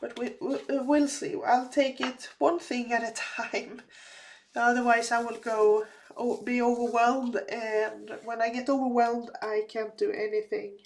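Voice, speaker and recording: Slow, halting woman with a slightly raspy voice. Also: on the high side, flat delivery, a little mellow. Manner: slow, halting, many fillers and restarts. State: slightly raspy, recovering from a cold. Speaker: crafting vlogger. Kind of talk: casual chat while crafting.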